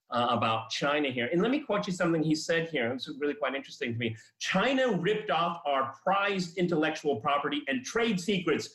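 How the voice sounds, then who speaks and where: A man's voice: on the high side, a bit bright. Man hosting political talk in echoey room. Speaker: talk show host. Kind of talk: political panel discussion.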